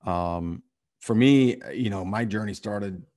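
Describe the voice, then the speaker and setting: A man from Syria, a podcast host, answering in a halting, reflective way, with many fillers and restarts. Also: lowish voice, darkish tone. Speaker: podcast host and real estate investor. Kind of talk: conversational interview.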